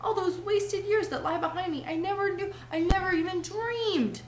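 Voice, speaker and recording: A woman's voice: very high-pitched, moderate pace, echoey room, noisy background, voice pushed up. A woman voicing a dreamy, rapturous male toad in a storybook, murmuring and gushing in a trance. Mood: dreamy, exalted.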